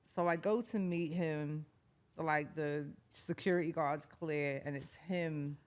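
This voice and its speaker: A woman in her forties, a singer-songwriter being interviewed, telling stories in a lively way, acting out quotes and laughing often. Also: sits low, slow. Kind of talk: casual interview storytelling.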